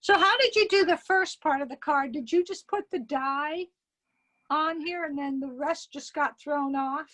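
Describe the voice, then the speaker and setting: A woman's voice: sits high, measured pace, fairly bright tone. A woman taking part in an online craft class, asking questions and agreeing eagerly in casual chat. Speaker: class participant.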